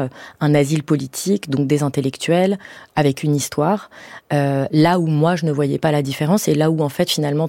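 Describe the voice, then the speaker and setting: A woman answering as a guest in a reflective radio interview, with halting, searching phrasing. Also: deep voice, warm tone, no echo. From France, of Iranian family. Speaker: guest, playwright and stage director.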